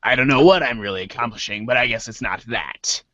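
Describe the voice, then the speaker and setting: Man playing bright-voiced, hesitant teenage boy. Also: medium pitch, brisk, no room sound, lo-fi sound, lightly acted. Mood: casual, unsure. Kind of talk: amateur dramatic reading of webcomic chat dialogue.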